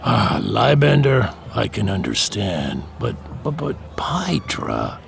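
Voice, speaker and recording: An actor playing a shrewd, evasive temple prior in an audio drama, with a sardonic and halting delivery. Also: male voice, on the low side, wildly swooping pitch, gravelly, faint music behind, heavily acted. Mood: cagey, sardonic. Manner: sardonic, hesitant drawl with stammered repeats.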